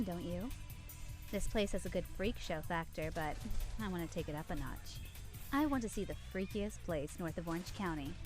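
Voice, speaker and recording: A woman's voice: medium pitch, lightly acted. An actress voicing a brash, thrill-seeking young female vampire in a video game, with a sassy, swaggering, slangy manner.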